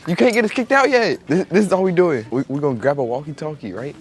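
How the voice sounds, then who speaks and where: A man's voice: a little high, fast. A young man chatting casually with strangers as a prankster vlogger in a store, playful and full of slang and swearing. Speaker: prank video creator. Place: inside a store.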